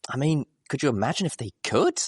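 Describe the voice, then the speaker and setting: A man's voice: mid-range voice, expressive, brisk, slightly rough, no room sound, studio quality, voice pushed up. A man voicing a curious, matter-of-fact female pony drinker who talks in a folksy, plain way. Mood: sceptical.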